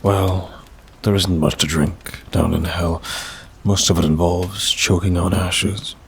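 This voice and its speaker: Casting: man to play a wry demon for ASMR roleplay, deep male voice, unhurried, bored and condescending, rain behind. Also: steady tone, creaky, a little hiss or hum, heavily acted. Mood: languid, wry. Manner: dry, languid, drawling, faintly mocking. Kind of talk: ASMR roleplay, intimate scripted monologue.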